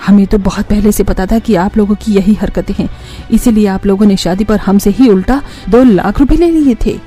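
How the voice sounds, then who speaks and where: A woman's voice: mid-range voice, moderate pace, warm tone, close to an everyday voice. A woman playing a caring, protective middle-aged mother-in-law in a cartoon family story. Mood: firm, protective. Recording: music bed under the voice.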